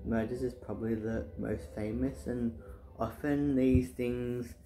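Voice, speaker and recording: A soft-spoken young man rambling casually in his living room, with many fillers and restarts. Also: medium pitch, fairly even intonation, measured pace, faint music behind. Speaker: booktuber. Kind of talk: casual chat. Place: living room, indoors, sitting on couch.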